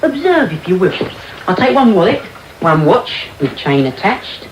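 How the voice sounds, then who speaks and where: Cheeky street boy, lively mock-posh patter, old radio drama w some hiss. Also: mid-range voice, measured pace. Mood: showy. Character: cocky young male London pickpocket, a showman. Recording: roomy, noisy medium-wave radio tape.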